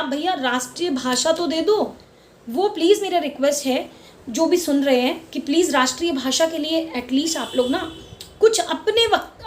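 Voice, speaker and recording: A middle-aged Indian woman hosting her own vlog, speaking with passion, repeating words for emphasis and mixing English words into her Hindi. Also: sits high. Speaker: channel host. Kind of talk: casual opinion vlog. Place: lives in Mumbai.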